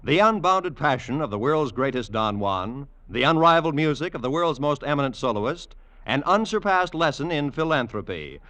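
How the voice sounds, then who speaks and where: A man's voice: on the high side, sing-song, moderate pace, sharp tone. An American man announcing on network radio in a polished, theatrical 1950s scripted style. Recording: vintage radio broadcast, music behind.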